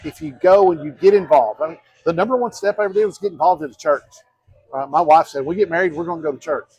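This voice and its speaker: A man's voice: on the high side, fast, darkish tone, breathy edge, no room sound, low-quality recording. A man, talking earnestly in a casual interview, rambling and restarting as he goes. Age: 50s. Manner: earnest, rambling with frequent restarts and quoted asides. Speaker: mayoral candidate.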